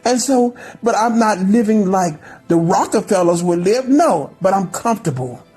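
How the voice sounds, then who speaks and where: Husky woman in her thirties, preaching. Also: medium pitch, lively intonation, moderate pace, mellow. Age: 30s. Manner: earnest, preachy, repeats phrases. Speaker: spiritual group team member. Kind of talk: encouraging monologue.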